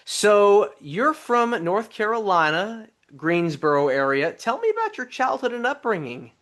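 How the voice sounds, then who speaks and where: A man's voice: sits high, sing-song, measured pace, a bit bright. A man hosting a podcast interview, upbeat and welcoming. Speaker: podcast host.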